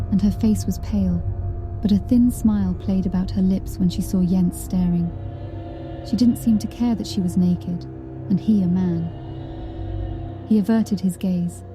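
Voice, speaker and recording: Dark, very mellow woman narrator. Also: mid-range voice. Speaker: audiobook narrator. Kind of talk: scripted dramatic narration of horror fiction.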